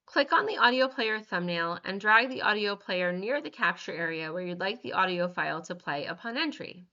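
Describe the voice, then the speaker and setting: A woman narrates a software tutorial in a bright, crisp-edged voice at a middle pitch. She delivers scripted instructions step by step with measured, careful enunciation. The recording is slightly dull. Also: lively intonation.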